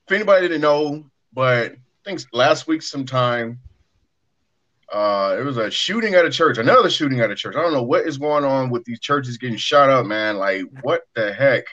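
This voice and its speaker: A man's voice: mid-range voice, lively intonation, moderate pace, a bit bright. A man hosting a casual discussion show, in slightly lo-fi audio. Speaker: podcast host. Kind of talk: casual discussion of current events.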